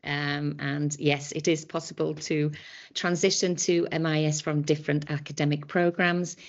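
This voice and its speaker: A middle-aged woman from an admissions office, speaking in a measured, welcoming way with frequent ums over a video call. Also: low voice, little pitch movement. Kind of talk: friendly welcome talk. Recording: dull lo-fi video-call audio.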